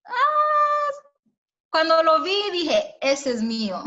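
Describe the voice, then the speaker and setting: A woman in her forties, chatting warmly and laughing easily as a guest on a virtual church ladies' meeting. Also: extremely high pitch, huge pitch swings, very slow. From Mexico. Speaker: invited speaker. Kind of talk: casual virtual interview. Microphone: video call. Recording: dull lo-fi video call audio.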